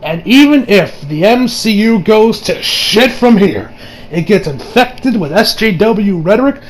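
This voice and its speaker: A man's voice: high voice, animated, a little slow, a little hiss or hum. A man giving a casual, unscripted movie review in an informal, emphatic way with plenty of swearing. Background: background music.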